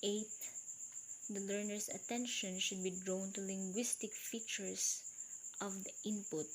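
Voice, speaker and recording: A woman teacher with a Filipino accent and Cebuano as her first language, reading points from slides in a recorded video lecture, slow and deliberate. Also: medium pitch, steady tone. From Philippines.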